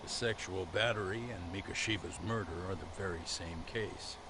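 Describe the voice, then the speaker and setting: An actor playing a seasoned, skeptical senior lawyer in a crime drama game, measured and questioning. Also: male voice, low-pitched, noisy background, close to an everyday voice. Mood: skeptical, probing. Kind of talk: dramatic English game voice acting.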